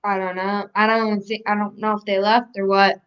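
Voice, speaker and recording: A woman's voice: mid-range voice, flat delivery, normal speed, smooth. Casual woman on muffled lo-fi chat.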